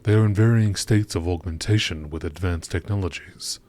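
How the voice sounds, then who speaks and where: Male narrator, low-pitched voice, documentary style, measured delivery, formal scripted read. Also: darkish tone. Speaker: gaming channel narrator.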